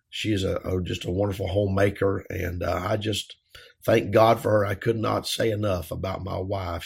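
A man, a pastor hosting his own podcast, talking earnestly with a dry, raspy throat and plenty of "uh" fillers. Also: lowish voice. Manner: earnest, folksy, frequent "uh" fillers. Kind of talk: casual podcast monologue.

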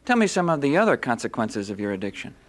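An actor playing a calm, probing addiction counselor in a radio drama. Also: male voice, mid-range voice, fast talker, lightly acted.

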